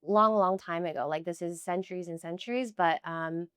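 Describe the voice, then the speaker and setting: Young american woman, chatty and earnest, says like a lot, lowish voice. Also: moderate pace, fairly bright tone, smooth, dry recording, studio quality. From United States. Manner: chatty, many "like" fillers, earnest. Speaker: guest. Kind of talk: casual conversational interview.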